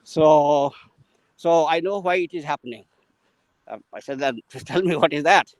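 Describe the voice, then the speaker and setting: A man with an Indian English accent tells a long, rambling story, full of small pauses and restarts. He is walking while he talks. His voice sits a little high, and it sounds dry and close to the mic with no room echo. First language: Maithili. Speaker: guest mentor in an English practice session. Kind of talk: casual conversational storytelling.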